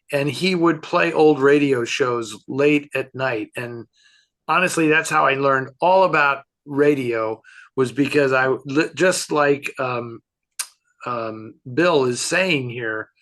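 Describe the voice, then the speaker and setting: Older man, American accent, mid-pitched voice, casual co-host chat, conversational storytelling. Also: takes it slowly, a bit bright. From San Francisco area, United States. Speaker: co-host answering fan questions.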